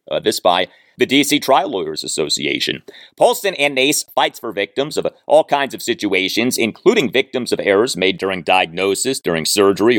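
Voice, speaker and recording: Animated male sports podcast host, dry studio sound. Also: fairly low pitch, no echo. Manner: emphatic, deliberate phrasing with stressed words. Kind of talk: sports commentary monologue with read-out listener mail and ad reads.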